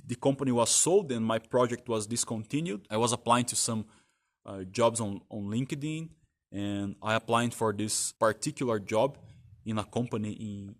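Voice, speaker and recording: A man whose first language is Portuguese, talking casually about his work history in a halting way with many restarts and fillers. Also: on the low side, dry recording. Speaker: vlogger telling his career story. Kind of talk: casual spoken monologue.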